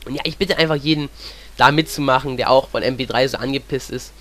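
A man's voice: mid-range voice, fairly even intonation, fast, a bit bright. A young man with a cold, talking casually and rambling as he comments on gameplay. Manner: chatty, rambling, fills with "ja". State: has a cold. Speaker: gaming commentator.

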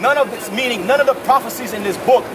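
A man's voice: very high-pitched, fast talker. A young American man street preaching in a debate, insistent, often cutting in and repeating his phrases. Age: young adult. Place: outdoors on a city sidewalk. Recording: loud street and crowd noise.

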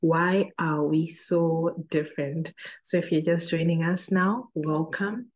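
A woman's voice: low-pitched, slow pace. A woman with a Zimbabwean accent, informally hosting an online event as the MC.

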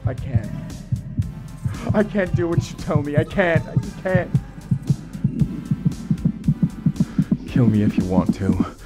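A man's voice: a little high, very animated, moderate pace, loud music behind the voice. A man playing a distraught but defiant, protective character in an audio drama, emotional and urgent. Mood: anguished. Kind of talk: dramatic audio roleplay acting.

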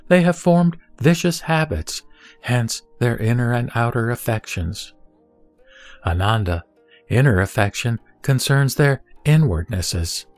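Man voicing solemn Buddha, measured teacher. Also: medium pitch, a little mellow, dry recording, natural voice. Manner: measured, formal, solemn reciting. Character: the Buddha, serene male teacher instructing a disciple. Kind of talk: scripted scripture audio drama, recited teaching.

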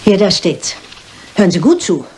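An actress playing a sharp-witted, stubborn older lady detective in a German crime radio play, speaking briskly and precisely. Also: mid-range voice, big pitch swings, background noise, close to an everyday voice. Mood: intrigued, deliberate.

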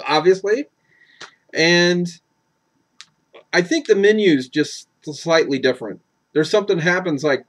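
A man co-hosting a casual movie review, talking in a relaxed way with halting restarts. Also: a little high. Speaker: movie review show co-host.

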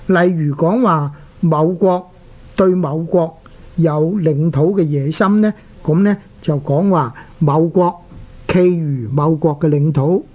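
Radio host, Hong Kong Cantonese accent, didactic, deliberate explanations, medium pitch, muffled lo-fi recording. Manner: didactic, deliberate, spelling out characters. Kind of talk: explanatory talk on Chinese characters.

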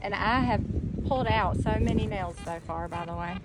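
A woman's voice: mid-range voice, moderate pace. A woman chatting casually to viewers as she digs up metal detector finds in a churchyard, recorded on her phone. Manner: chatty, talks to viewers while working, coaxing finds. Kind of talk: casual narration while digging. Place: outdoors in a churchyard lawn. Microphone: phone camera held or mounted on the body. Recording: loud outdoor background noise.

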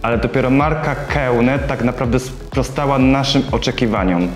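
A man's voice: mid-range voice, moderate pace. Earnest young man in a roomy salon. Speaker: hairdresser and salon co-owner. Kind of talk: sponsored testimonial interview. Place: bright hair salon, indoors. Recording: roomy salon with soft background music.

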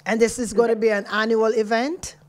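A woman speaks close to a studio microphone as she hosts a radio interview. Her voice is slightly high, and she keeps her guest going with encouraging prompts and affirmations. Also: level tone, brisk. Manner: encouraging, frequent short affirmations. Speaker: radio show host. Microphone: studio mic up close.

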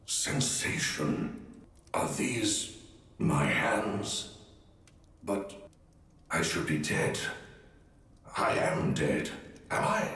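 Slow, echoing, haughty undead knight. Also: male voice, deep voice, a bit bright, heavily acted. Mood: dazed, confused. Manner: pompous, archaic, declamatory. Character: haughty, wrathful undead knight lord in armor. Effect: echoing spirit reverb. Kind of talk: video game character acting. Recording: strong echo, distant sound.

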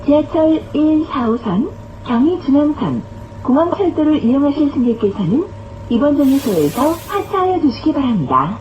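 Female transit announcer: high, lively intonation, formal and carefully enunciated, recorded amid vehicle noise. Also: normal speed, poor audio. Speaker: bus stop announcer. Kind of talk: scripted public transit announcement. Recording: clear vehicle noise throughout. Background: bus interior noise.

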